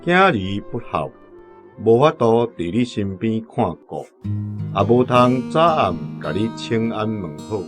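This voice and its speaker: A man with a Taiwanese accent playing an obliging village letter writer in a radio drama, patient and measured. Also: fairly low pitch, big pitch swings, faint music behind.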